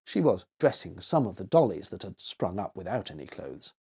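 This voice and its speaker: A man's voice: deep voice, very animated, moderate pace, mellow, breathy edge. A man reading a children's story aloud as narrator, expressively and with dramatic emphasis.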